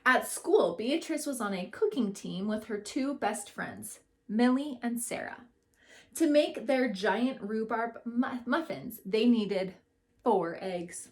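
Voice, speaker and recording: Female educator, higher-pitched, unhurried, expressive children's storybook reader. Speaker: school educator reading to children. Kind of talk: read-aloud storytelling lesson for children.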